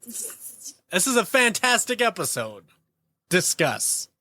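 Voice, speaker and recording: Bright, forceful man on a podcast. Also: sits high, very animated, slow pace, no echo. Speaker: podcast host. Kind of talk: casual podcast discussion, played as a clip.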